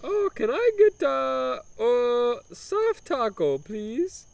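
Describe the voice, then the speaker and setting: A man voicing a whiny cartoonish plumber character in a put-on broken Italian accent, drawn-out and shrieking when upset. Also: extremely high pitch, sing-song, gravelly, smooth, no echo, voice pushed up, full character voice. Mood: chirpy. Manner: theatrical, complaining, shrieking at peaks.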